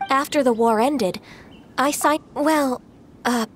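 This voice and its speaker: A woman voicing a gentle, polite, dutiful half-Qilin woman in a video game, soft-spoken and slightly hesitant. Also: sits high, takes it slowly, a little hiss or hum. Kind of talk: video game character dialogue.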